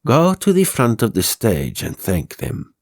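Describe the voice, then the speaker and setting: Man acting male stage ventriloquist, coaxing, commanding, slow measured speech, horror audiobook character. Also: lowish voice, huge pitch swings, a little mellow, no echo, clean studio sound, full character voice.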